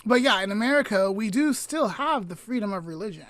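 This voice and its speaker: A woman's voice: mid-range voice, animated, brisk, no echo. A young woman in casual podcast chat, talking with many fillers and restarts. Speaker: podcast co-host.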